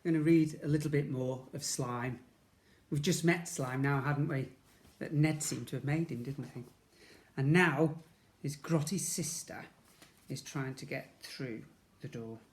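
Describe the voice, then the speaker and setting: A man's voice: medium pitch, unhurried, a little hiss or hum. A man reading a storybook aloud expressively to young children, as a schoolteacher would.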